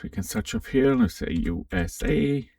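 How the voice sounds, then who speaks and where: A man giving a casual, unscripted software walkthrough, thinking aloud with some hesitation as he presents. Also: mid-range voice, huge pitch swings, unhurried, gravelly. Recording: roomy, slightly distant microphone.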